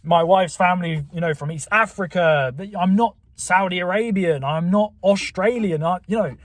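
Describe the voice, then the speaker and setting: A man's voice: high-pitched, moderate pace, dry recording. A man from England with a southern English accent from Buckinghamshire, rambling to the camera from his car, with many fillers and restarts. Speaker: channel host. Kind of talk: rambling casual monologue to camera. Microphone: camera mic.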